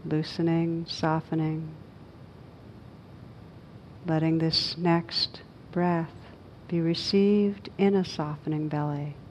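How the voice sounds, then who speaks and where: A woman teaching a guided meditation, speaking gently in short, unhurried phrases with pauses between them. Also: low-pitched, little pitch movement, a little hiss or hum.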